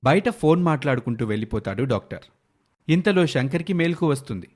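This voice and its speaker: A man's voice: fairly high pitch, expressive, brisk, a little mellow. A man reading scripted narration for a moral story as its narrator.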